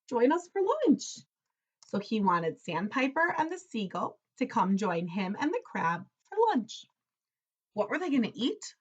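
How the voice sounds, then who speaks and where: Teacher lady reading a kids book out loud, real expressive, asks questions, bit muffled sound. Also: mid-range voice, unhurried, breathy edge. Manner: expressive, explaining simply. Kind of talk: animated picture-book read-aloud with questions for children.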